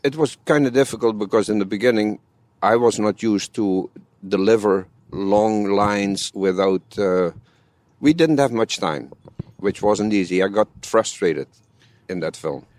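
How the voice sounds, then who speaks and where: A man in his seventies speaks with a Dutch accent, keeping his tone matter-of-fact and his English slightly broken. His voice sits on the low side, and it is recorded dry and close with no room sound. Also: unhurried, a little mellow, no echo. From Netherlands. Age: 70s. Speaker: guest, amateur film actor. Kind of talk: casual conversation.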